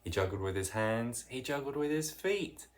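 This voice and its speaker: A narrator reading a children's picture book aloud in an expressive, deliberate way with dramatic emphasis. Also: medium pitch. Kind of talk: read-aloud children's storytelling.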